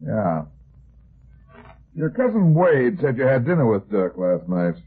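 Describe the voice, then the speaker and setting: An actor playing a cool, hard-boiled private detective in a 1950s radio drama, with terse, blunt questioning. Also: male voice, medium pitch, big pitch swings, measured pace, husky, heavily acted. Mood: terse, probing. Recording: muffled phone-like vintage radio recording.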